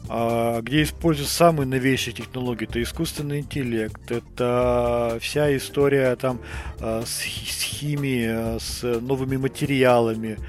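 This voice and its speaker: A man's voice: medium pitch, fairly even intonation, normal speed, soft background music. A man hosting a casual podcast discussion, often repeating himself as he searches for words. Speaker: podcast host.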